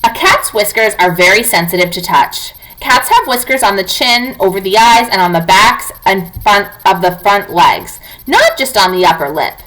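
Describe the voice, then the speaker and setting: A woman teacher reading non-fiction aloud to young students in an expressive, clearly enunciated, instructive way. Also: medium pitch, moderate pace, few pauses, low-quality recording.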